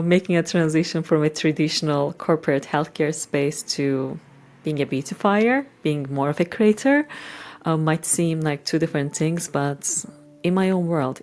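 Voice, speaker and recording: Channel host: middle-aged woman, Turkish accent, low register, measured and reflective delivery in short, deliberate phrases. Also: darkish tone. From Turkey. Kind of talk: reflective talk to followers.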